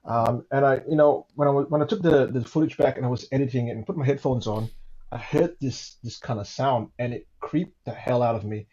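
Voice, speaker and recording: Man recounting an experience in halting repeats. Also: medium pitch, dark tone, lo-fi sound. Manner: repeats words, emphatic tag questions. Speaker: guest recounting his own recording. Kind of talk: casual conversational storytelling.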